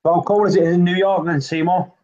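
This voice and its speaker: A man's voice: sits high, fairly even intonation, fairly quick, a little mellow, muffled recording. A man from the UK, teasing and joking in a casual group chat. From United Kingdom. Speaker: chat participant.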